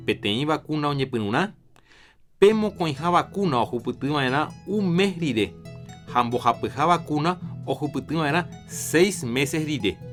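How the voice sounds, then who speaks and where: A man presenting a scripted public health segment in a radio program. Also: mid-range voice. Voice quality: steady, informative reading. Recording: music bed under the voice.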